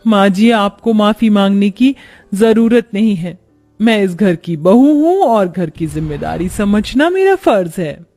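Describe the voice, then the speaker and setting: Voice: mid-range voice, expressive, a little slow, dark tone, faint music behind. A man voicing an overworked young daughter-in-law in a cartoon story, resentful yet dutiful, complaining to herself and polite to her elders.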